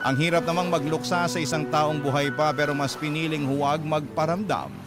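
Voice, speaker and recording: A man's voice: fairly high pitch, fairly even intonation, brisk. A man reading a listener's letter as narrator of a radio drama, earnest and somber. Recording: loud music underneath.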